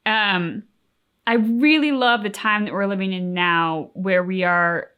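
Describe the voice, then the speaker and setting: A woman co-hosting a casual, reflective reaction chat, phrasing her thoughts in a measured way. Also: mid-range voice, smooth, lo-fi sound. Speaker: reaction channel co-host. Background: faint game music.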